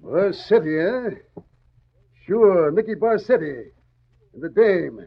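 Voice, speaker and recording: An actor playing an indignant, emphatic police inspector in a 1940s radio drama, accusing and blustering. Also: male voice, sits high, sing-song, slow, gravelly, exaggerated voice. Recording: muffled vintage radio recording.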